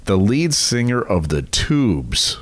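A man with a slightly low voice and very lively pitch movement hosts a podcast. He banters casually and jokes around, sounding informal and quick to laugh. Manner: jokey, informal, laughs easily. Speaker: podcast host and interviewer. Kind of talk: casual banter and show promos.

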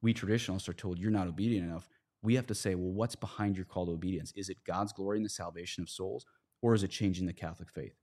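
This is a man with a low voice, speaking as a priest in an earnest religious conversation. He argues with emphasis and often sets up his points as rhetorical questions. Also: fairly even intonation, quick pace, a little mellow. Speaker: priest, podcast guest.

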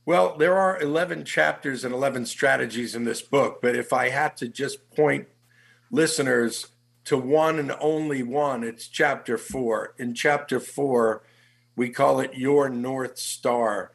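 Older guy, slow gravelly voice, calm measured interview answers. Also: medium pitch. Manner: measured, listing things in steady runs. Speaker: guest, executive search professional.

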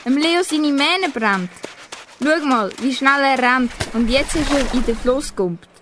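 A woman's voice: high-pitched, measured pace, noisy background. A teenage girl with a Swiss German accent playing a timid but helpful animal in an amateur radio play. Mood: astonished.

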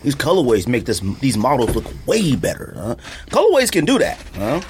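A man's voice: mid-range voice, huge pitch swings, fairly quick, darkish tone, a little hiss or hum. A man co-hosting a podcast in casual, slangy, argumentative chat, often repeating himself for emphasis. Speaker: podcast co-host. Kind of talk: casual opinionated chat about sneakers.

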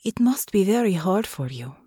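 Audiobook role: gentle young farm woman, voiced by a female narrator, earnest, careful with each word, close dry sound. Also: mid-range voice, very animated, husky, no room sound. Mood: calm, probing. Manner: soft, careful, deliberate, formal phrasing. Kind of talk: audiobook character dialogue.

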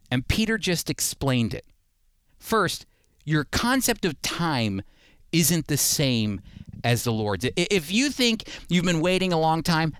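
Animated male preacher, dry close sound. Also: a little high, clean tone, no room sound. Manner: emphatic, repeats key points for stress; direct address to listeners. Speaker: preacher and Bible teacher. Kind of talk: sermon.

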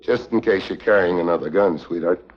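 A low-voiced, hard-boiled American agent, clipped and sardonic. The sound is a dull 1950s drama soundtrack, and he stays cool and dry throughout. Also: brisk.

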